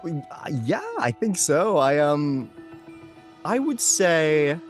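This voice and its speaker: A man who works as a voice actor chatting casually as an interview guest, playful and often pausing to think aloud. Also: a little high, big pitch swings, soft background music.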